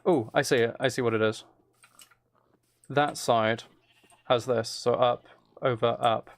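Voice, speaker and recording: A man's voice: mid-range voice, fairly even intonation, unhurried, gravelly. A man giving casual gameplay commentary, thinking aloud and correcting himself as he chats to viewers. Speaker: streamer playing a building game.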